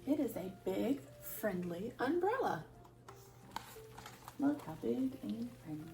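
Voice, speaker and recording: Lady reading to little kids, super sing-song up and down, bit of vocal fry, mic kinda far away. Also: fairly high pitch, takes it slowly, soft background music. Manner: expressive, addressing children with questions. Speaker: children's storyteller at a church. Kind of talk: read-aloud storytime for young children.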